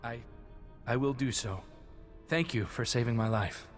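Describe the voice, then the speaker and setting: A man's voice: mid-range voice, unhurried, natural voice. An actor playing an anguished, vengeful male survivor in a video game cutscene. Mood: subdued, lost. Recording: hall reverb, music behind.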